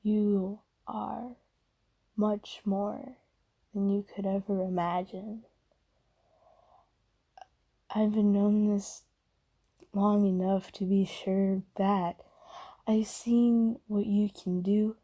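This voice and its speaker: A woman voicing a gentle, caring demon in a soft ASMR roleplay, hushed, breathy and soothing, often slipping into whispers. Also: medium pitch, fairly even intonation, slow, rough recording. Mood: gentle, reassuring. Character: gentle, caring female sleep paralysis demon comforting someone.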